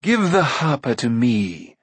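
A man's voice: medium pitch, big pitch swings, slow, breathy edge, no room sound, heavily acted. A scheming steward, acted by a male narrator in an audiobook. He speaks formally and eagerly, with oily spite, in a dry recording. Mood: eager, spiteful. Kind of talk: audiobook character acting.

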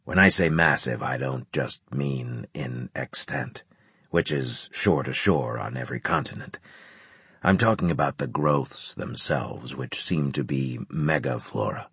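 A man voicing a grim male centurion in an audiobook, terse, grave and deliberate as a commander. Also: very deep voice, vocal fry, fairly bright tone, husky, no echo, pitched below the performer's own voice, full character voice. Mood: grave, matter-of-fact. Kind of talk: audiobook character dialogue.

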